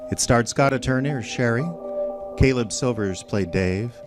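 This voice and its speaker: A man's voice: mid-range voice, unhurried. A man reading scripted end credits as the announcer of an audio drama. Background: loud music.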